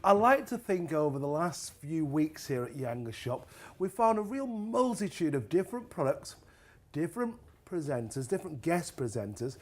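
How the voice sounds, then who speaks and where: A man's voice: fairly high pitch, big pitch swings, moderate pace, a little mellow. A middle-aged man hosting a shopping show interview in a jovial, bantering way. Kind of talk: promotional presenter interview chat.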